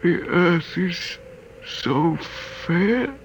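A lonely old doctor in a vintage radio drama, weak and remorseful. He speaks slowly and haltingly in a rather high, sharp voice over a noisy old recording. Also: animated, full character voice. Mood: dying, resigned. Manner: halting, repeats words, weak and faltering.

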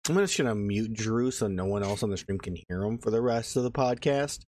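A man's voice: lowish voice, animated, brisk, darkish tone. A man co-hosting a casual podcast, teasing the others bluntly and swearing freely. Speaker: podcast co-host.

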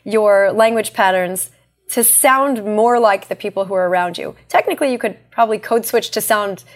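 A woman with a light New York accent speaks as an accent coach in an interview. She explains her points in a measured, thoughtful way, restarting a phrase now and then. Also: mid-range voice. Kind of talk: podcast interview answers.

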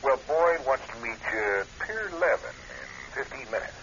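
A man's voice: medium pitch, animated, gravelly, fairly bright tone. An actor playing a sly, folksy male private eye, hesitant and drawling out his words in a radio drama. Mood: folksy coaxing. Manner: hesitant, folksy, drawn-out delivery. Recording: hissy, muffled old radio phone call.

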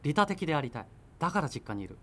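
A man's voice: on the high side, sing-song, very fast, fairly bright tone. An actor playing an evasive, argumentative boyfriend in his thirties in naturalistic radio drama dialogue, rambling as he justifies himself. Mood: defensive. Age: 30s. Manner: defensive, rambling self-justification. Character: evasive, argumentative thirty-year-old freelancer boyfriend living with parents.

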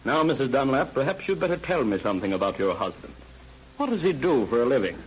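An actor playing a businesslike, authoritative county sheriff who asks clipped questions in an old-time radio crime drama. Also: male voice, medium pitch, very animated, fast, natural voice. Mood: probing. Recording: tinny, noisy vintage radio recording.

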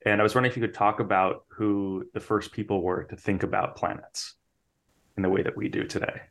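A man's voice: lowish voice, steady tone, fairly quick, a bit bright. A man hosting and interviewing on a podcast.

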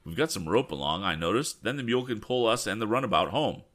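A man's voice: on the low side, lively intonation, quick pace, a bit bright, voice pushed up. A man voicing a practical, slightly testy storybook balloonist in an assertive, matter-of-fact way. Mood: decisive.

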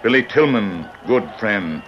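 Slow, solemn frontier companion, low voice. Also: male voice, expressive. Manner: halting, solemn broken English. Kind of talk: old-time radio western acting. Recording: muffled, noisy old radio recording.

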